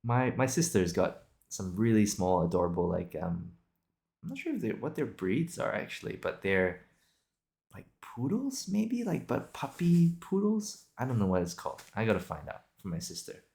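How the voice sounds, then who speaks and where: A man's voice: fairly low pitch, big pitch swings, moderate pace, gravelly, a little mellow. A young man from Singapore and Australia chatting casually and unscripted, thinking aloud in a rambling, hesitant way. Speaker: video podcast host. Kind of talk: casual improvised solo chat.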